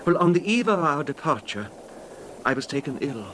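An actor playing a fragile, nervous, genteel husband with a hesitant, mannered delivery in a radio drama. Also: male voice, on the high side, very animated, moderate pace, fairly bright tone. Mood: brooding, genteel. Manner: hesitant, stammering, mannered, formal. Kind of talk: old time radio drama acting. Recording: noisy old radio drama recording.

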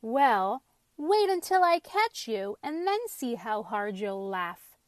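A woman's voice: on the high side, normal speed, fairly bright tone, dry recording. A woman voicing a determined, indignant young boy in a storybook, with a bossy, emphatic way of calling out. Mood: angry.

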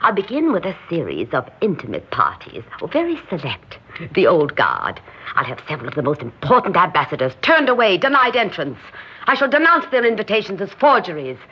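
A woman's voice: fairly low pitch, wildly swooping pitch, fairly quick, slightly rough, full character voice. Imperious scheming socialite woman, theatrical, swooping voice. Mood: grandiose. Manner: theatrical, commanding, self-assured. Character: imperious, scheming, grandiose socialite hostess plotting assassination. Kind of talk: old-time radio drama acting. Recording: dull, muffled old radio recording.

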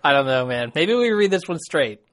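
A man's voice: on the high side, sing-song, fairly quick. An American man co-hosting a podcast, chatting casually and jokingly with wry interjections. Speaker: podcast co-host.

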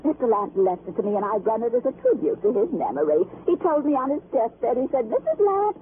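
A woman's voice: fairly high pitch, very animated, fairly quick. A woman playing a talkative, put-upon widowed American landlady in an old radio drama, rambling and colloquial. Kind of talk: 1950s radio character acting. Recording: muffled, noisy vintage radio broadcast.

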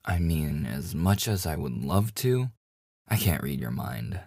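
A man plays a devoted boyfriend with a hidden obsessive streak in an ASMR roleplay. His voice is low and very close and dry. He talks slowly and intimately, with hesitant stammers. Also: very animated, darkish tone, no room sound, heavily acted. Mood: gently earnest. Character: devoted, nervously joking boyfriend hiding obsessive yandere streak. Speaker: voice actor.

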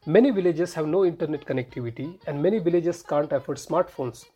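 Male podcast reporter, Indian accent, slightly rough-edged voice, scripted narration over faint music. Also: fairly high pitch, a little fast, dark tone.

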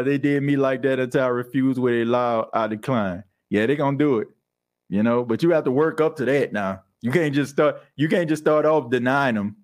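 Laughing young man hosting a podcast. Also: mid-range voice. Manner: laughs often, animated impressions of church folk. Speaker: podcast host. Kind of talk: casual chat reading live comments.

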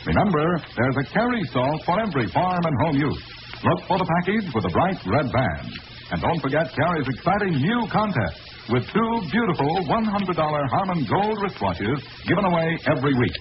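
Lively high-voiced vintage radio announcer man. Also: moderate pace. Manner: promotional, emphatic, clearly enunciated. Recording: noisy vintage radio recording, muffled, hissy.

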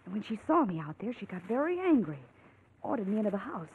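A woman's voice: mid-range voice, big pitch swings, fast, close to an everyday voice. An actress playing a desperate, earnest young farm woman in a 1940s radio drama, pleading urgently for help. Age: young adult. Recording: narrow-band old radio, faint noise.

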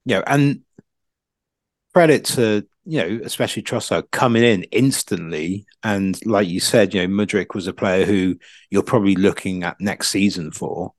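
A man chatting casually as a football pundit, thinking aloud in a halting, circling way. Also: fairly low pitch, no echo, clean studio sound.

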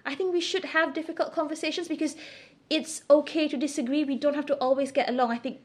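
A woman's voice: sits high, fairly even intonation, fast, slight background noise. Candid middle-aged woman in interview. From Singapore. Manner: candid, emphatic, opinionated. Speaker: interview guest. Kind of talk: conversational interview answers.

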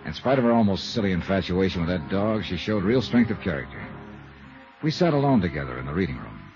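Low-voiced hard-boiled American radio detective. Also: male voice, sing-song, fast, a little mellow, highs cut off. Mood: wry narrating. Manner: wry, clipped, hard-boiled. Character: brisk hard-boiled male insurance investigator narrating his case. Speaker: investigator narrator and lead. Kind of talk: 1950s radio detective drama acting. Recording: vintage radio recording, band-limited, music underscoring.

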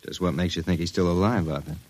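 Low-voiced, wry investigator. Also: male voice, steady tone, quick pace, darkish tone. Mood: probing. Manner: terse, matter-of-fact, wry. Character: cool, probing male undercover investigator narrating his case. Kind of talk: old-time radio detective narration and dialogue.

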